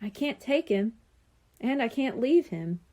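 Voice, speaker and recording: A woman voicing a kindly, gentle, rueful older man in a children's audiobook. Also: a little high, expressive, unhurried. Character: kindly older man, gentle soon-to-retire school worker.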